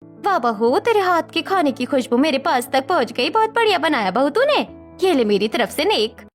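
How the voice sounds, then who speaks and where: A woman's voice: high voice, very animated, moderate pace, faint music behind. A woman playing a stingy, folksy older neighbour aunt who gushes and makes demands in a Hindi story drama. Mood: gushing praise. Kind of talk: Hindi audio story dialogue acting.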